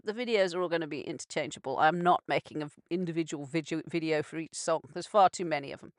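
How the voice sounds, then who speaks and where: A woman's voice: mid-range voice, fairly bright tone, dry recording, studio quality. A woman who grew up in Scotland, hosting a live radio show in a chatty, rambling way and laughing easily. Her British accent carries Scottish, Cockney, Birmingham and South African traces. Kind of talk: casual live radio chat. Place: narrowboat cabin.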